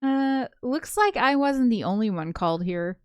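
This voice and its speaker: A woman reading a visual novel aloud as a let's play host, shifting between expressive narration and casual commentary. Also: on the high side, moderate pace, smooth, no echo, studio quality. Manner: giggly, breaks off into casual asides.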